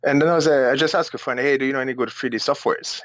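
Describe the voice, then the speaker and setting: A man from Switzerland, a guest chatting casually about his visual effects work, relaxed, with many fillers and easy laughs, heard over a call. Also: mid-range voice, rapid-fire. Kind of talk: casual interview chat. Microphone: call microphone.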